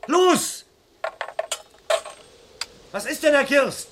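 A man's voice: extremely high pitch, lively intonation, extremely slow, full character voice. An actor playing a tense police inspector in a German crime radio play, giving urgent, insistent orders to his frightened wife. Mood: frantic. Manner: urgent, commanding, insistent repetition. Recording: slightly noisy old radio recording.

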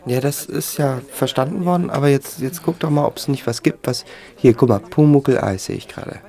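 Casting: man as grumbling but earnest dad, mid-pitched, halting muttered delivery, naturalistic radio play. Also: warm tone, lightly acted. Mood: mildly exasperated. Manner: halting, self-interrupting, muttering. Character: flustered, grumbling, well-meaning father of a young boy. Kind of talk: naturalistic German radio drama dialogue.

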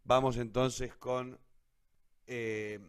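A man's voice: medium pitch, flat delivery, extremely slow, clear, resonant tone. A man with an Argentine accent casually hosting a cultural programme, speaking off the cuff. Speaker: host of a cultural radio theatre programme.

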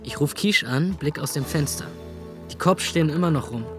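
A man's voice: fairly high pitch, normal speed, over music, natural voice. An actor playing a restless young man narrating a radio drama with sardonic asides. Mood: wry.

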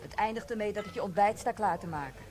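An actress playing a dry, teasing barmaid in a radio play. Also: female voice, medium pitch, fast, lightly acted. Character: sharp-tongued, teasing middle-aged barmaid. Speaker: barmaid Grace. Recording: pub chatter behind.

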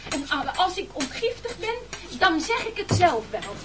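A man's voice: very high-pitched, sing-song, normal speed, music in the background. A male actor playing a frantic, pleading castaway in a radio play, stammering and evasive. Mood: nervous, pleading. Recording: very noisy old radio recording.

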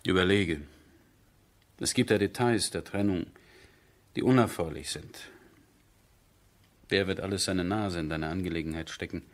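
An actor playing a sober, dutiful army major who speaks in measured, weighty phrases in a 1970s German radio drama. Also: male voice, sits low, natural voice. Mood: urgent, admonishing.

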